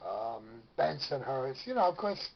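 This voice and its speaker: A middle-aged man with a gravelly voice, rambling casually through old memories to camera at home. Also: medium pitch, big pitch swings, takes it slowly, highs cut off. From Brooklyn, New York. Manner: rambling, colloquial storytelling. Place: at home with a pet dog.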